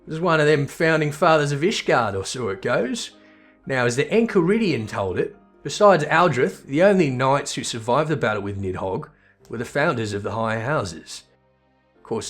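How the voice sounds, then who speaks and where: Gruff fantasy game barkeep, played by a man: wry, teasing, colloquial storyteller, music underneath. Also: medium pitch, lively intonation, moderate pace, natural voice. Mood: wry storytelling. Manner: colloquial, teasing, rough-spoken. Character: gruff, wry male tavern keeper telling old tales. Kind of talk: character voice reading video game dialogue.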